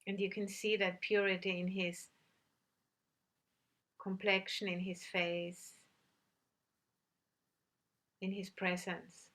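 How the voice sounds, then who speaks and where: A woman teaching a guided meditation, giving gentle instructions with long pauses between phrases. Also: medium pitch, level tone.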